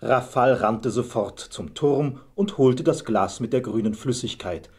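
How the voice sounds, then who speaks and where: Husky male fairy-tale narrator. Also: medium pitch, measured pace, darkish tone. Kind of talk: scripted fairy-tale storytelling.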